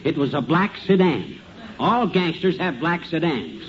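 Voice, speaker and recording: A folksy, blustering know-it-all husband with a fairly high voice. He is muddled yet cocky, in 1950s radio comedy style. The old recording is dull and noisy. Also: very animated, measured pace. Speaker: actor, lead of a radio comedy.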